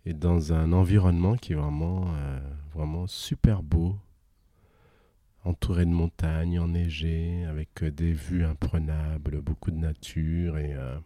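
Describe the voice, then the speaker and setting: A man's voice: very deep voice, level tone, unhurried, warm tone, no echo, studio quality. A middle-aged man from Guadeloupe, a guest in a casual interview, talking hesitantly with many restarts and easy laughter.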